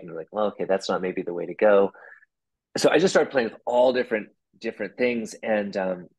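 Husky low-voiced man chatting. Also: a little mellow, low-quality recording. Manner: rambling, many restarts and laughs. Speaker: puppeteer guest. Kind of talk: casual interview chat.

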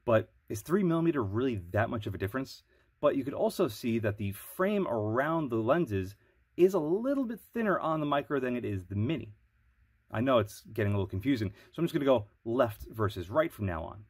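Man, nasal with a cold, husky tone, dry close sound, measured reviewer delivery. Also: mid-range voice, very animated, no room sound. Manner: measured, explanatory. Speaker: product reviewer. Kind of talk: product review voice-over.

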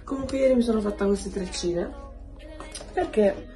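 A woman's voice: medium pitch, moderate pace. Young woman chatting into her phone at home, pop music playing behind her. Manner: chatty, addresses viewers as "raga". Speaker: lifestyle vlogger. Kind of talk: casual chat to camera. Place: apartment, indoors. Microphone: phone camera at arm's length. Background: background music.

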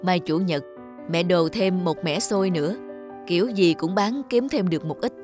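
A woman voicing a middle-aged, caring, hardworking village mother, gently admonishing, in an animated drama. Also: on the low side, moderate pace, soft background music, lightly acted. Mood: weary, practical.

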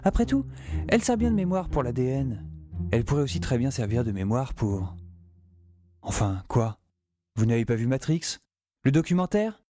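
A man's voice: mid-range voice, sing-song, moderate pace, pauses often, mellow, faint music behind. An actor playing a sarcastic, geeky young male scientist in an audio drama, wryly telling his adventure and rambling. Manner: wry, rambling, talks to the listener. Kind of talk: audio drama narration and dialogue.

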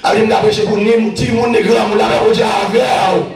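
A man preaches at full cry, his voice pitched very high and rising into shouted, chanted calls. He paces a small church with a handheld mic. The sound is caught by a camera at the back, so it booms through a very echoey room with music underneath. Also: flat delivery, a little fast, creaky, far from the mic. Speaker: evangelist preacher. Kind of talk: fiery sermon. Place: small church sanctuary, indoors. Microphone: handheld mic through PA, recorded from a far camera.

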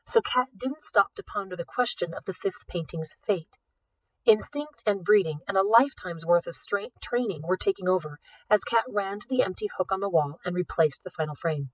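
A woman reads fiction aloud in an expressive narrating style. Her pitch is average for a woman. The recording is dry, with her a little back from the microphone. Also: normal speed, no room sound, recorded from a distance, poor audio. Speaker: audiobook reader.

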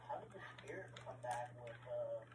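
A fellow player chatting casually about the game, quiet and trailing off at the ends. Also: mid-range voice, animated, normal speed. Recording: distant voice in an echoey room with clear noise.

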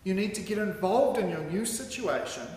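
A man with a high-pitched, lively voice reads out a sermon with deliberate, emphatic phrasing. He speaks at a stand microphone in a large hall, and the sound is very echoey with some background noise. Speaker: sermon reader. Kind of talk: sermon read aloud from a script. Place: large hall with curtained stage, indoors. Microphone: microphone on a stand at a lectern.

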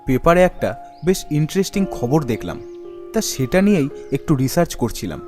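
A man's voice: on the high side, big pitch swings, normal speed, over music. A man voicing an eager young male friend planning spooky adventures in a cartoon ghost story, chatty and enthusiastic. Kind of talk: animated ghost story character voice.

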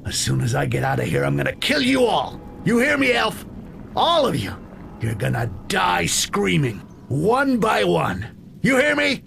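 An actor playing a cynical, foul-mouthed goblin thief in a video game, with a croaky voice and a sly, vengeful manner. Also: male voice, high voice, huge pitch swings, measured pace, fairly bright tone, slight background noise, exaggerated voice. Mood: furious. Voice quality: gravelly, croaky. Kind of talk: video game character acting.

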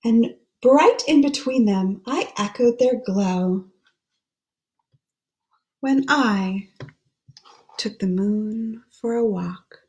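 Female, 30s, children's read-aloud: gentle, very unhurried delivery, voice a touch high. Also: rough recording. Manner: gentle, explaining pictures between read lines. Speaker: pastor reading a picture book. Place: small room at home.

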